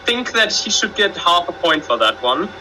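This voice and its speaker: High, bright man reading trivia questions. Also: very animated, normal speed, a little hiss or hum, rough recording. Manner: hesitant, filler-heavy reading aloud. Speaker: question reader. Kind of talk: casual online trivia show.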